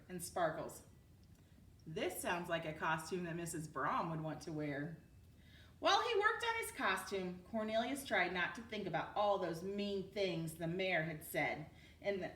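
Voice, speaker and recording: A woman reading a children's storybook aloud like a teacher, with expressive delivery. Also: mid-range voice. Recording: roomy, distant mic with background noise.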